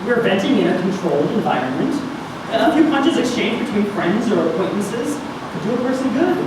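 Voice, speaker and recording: A man playing an earnest, reasoning teenage boy with measured wording in a staged script reading. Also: sits high, big pitch swings. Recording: very echoey room, distant mic, clear noise.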